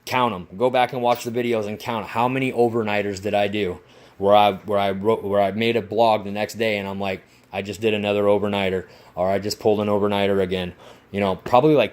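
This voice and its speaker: A man vlogging casually about his model plane build, rambling and swearing with excitement. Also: fairly low pitch, a little fast. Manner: rambling, profane, enthusiastic. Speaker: RC hobby vlogger. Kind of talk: casual workshop vlog.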